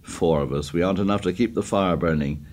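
A stricken young boy leader in an audiobook, voiced by a man. The voice is low and subdued. He speaks slowly, half muttering, weighed down by guilt. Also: animated, a little mellow. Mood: subdued, doubtful. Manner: slow, muttering.

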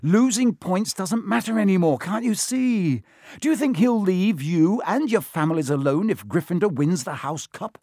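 A man's voice: mid-range voice, expressive, moderate pace, few pauses, no echo, clean studio sound, natural voice. A man voicing a brave, headstrong schoolboy hero in a storybook reading, speaking with urgent insistence. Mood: impassioned.

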